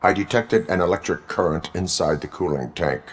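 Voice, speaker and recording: Deep, deadpan man playing a cyborg cop. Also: close to an everyday voice. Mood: flat, businesslike. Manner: deliberate, clipped, formal, deadpan. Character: stoic male cyborg police officer, formal and dutiful. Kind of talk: video game voice acting.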